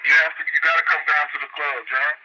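A man's voice: fairly high pitch, level tone, fast, very noisy. Laid-back man on a muffled line. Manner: laid-back, rambling with fillers. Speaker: radio guest, business owner. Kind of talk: casual radio interview.

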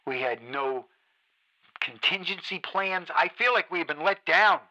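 A frantic male radio DJ rants and pleads to his listeners in a high voice. The tone is sharp and very bright. It sounds like a radio drama. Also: moderate pace, dull audio, heavily acted. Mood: despairing. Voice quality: ranting, pleading. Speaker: radio host.

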